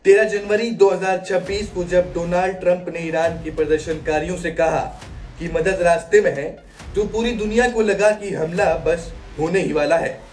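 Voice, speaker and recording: A man's voice: sits high, fairly even intonation, moderate pace, darkish tone, far from the mic, a little hiss or hum. A man delivering a scripted news explainer in a dramatic manner, posing rhetorical questions. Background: background music.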